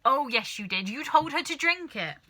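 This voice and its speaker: Woman acting a frantic, wailing, high-pitched mother. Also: lively intonation, normal speed, bright, forceful tone. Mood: alarmed scolding. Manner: crying out, wailing, shrieking. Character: frantic wailing wife and mother, easily panicked. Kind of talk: children's storybook reading.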